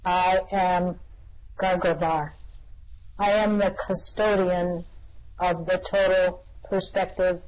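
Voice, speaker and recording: A performer playing a calm, bodiless custodian of a machine with a slow, deadpan, matter-of-fact delivery in an amateur radio-play reading. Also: medium pitch, close to an everyday voice, poor audio.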